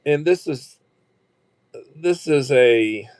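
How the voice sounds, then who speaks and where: A man's voice: a little high, animated, darkish tone, dry recording. A man in his sixties hosting a casual livestream, talking in a rambling way with frequent restarts and fillers. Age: 60s. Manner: rambling, halting with repeated restarts. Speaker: livestream host.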